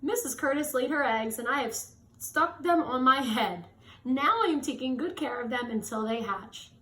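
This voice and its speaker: A woman's voice: high voice, lively intonation, moderate pace, sharp tone. A woman voicing a proud, contented father fish in a children's storybook, upbeat and emphatic. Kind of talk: children's storybook character read aloud. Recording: echoey room with faint noise.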